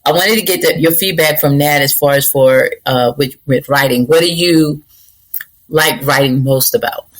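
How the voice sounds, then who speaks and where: A woman's voice: sits low, moderate pace, rough recording. A woman hosting an interview, asking questions and encouraging the guest with frequent affirmations. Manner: encouraging, lots of affirming backchannel. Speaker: interview host.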